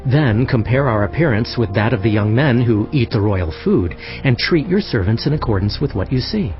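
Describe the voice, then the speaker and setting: Respectful young male captive played by an actor, mid-pitched, very expressive, earnest delivery for scripture audio drama. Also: moderate pace, lo-fi sound, faint music behind, natural voice. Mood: respectful request. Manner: polite, earnest. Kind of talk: dramatized audio Bible acting.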